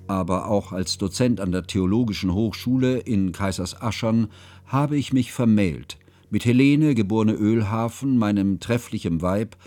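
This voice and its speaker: An actor playing an ageing scholarly schoolmaster who narrates a radio drama in a measured, pedantic, ornate way. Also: male voice, on the low side, a little mellow, lightly acted. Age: older. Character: ageing scholarly schoolmaster, humane, ceremonious, reflective. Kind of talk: literary first-person radio drama narration.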